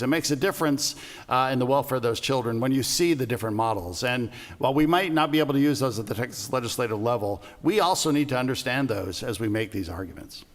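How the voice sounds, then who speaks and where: A man from Puerto Rico speaking on a panel, telling anecdotes in a wry, conversational way. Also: mid-range voice. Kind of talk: panel discussion. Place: event hall. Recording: long reverb of a hall.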